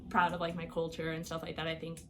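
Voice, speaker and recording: A woman's voice: low voice, monotone, quick pace, noisy background. A young woman whose first language is Albanian, a podcast guest telling her own story in a casual way, with lots of fillers and frequent laughs. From Iowa, United States. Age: young adult. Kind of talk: personal storytelling.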